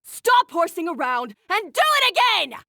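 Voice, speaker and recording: A woman's voice: very high voice, sing-song, unhurried, gravelly, bright, forceful tone, no room sound, studio quality, full character voice. A woman playing an imperious, demanding female head chef in a video game. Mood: angry, commanding.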